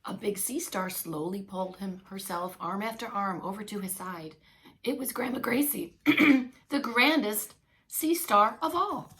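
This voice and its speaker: A woman reading a story aloud to young children as a preschool teacher, expressive, with playful asides. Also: medium pitch, measured pace, poor audio. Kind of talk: animated read-along children's storytelling.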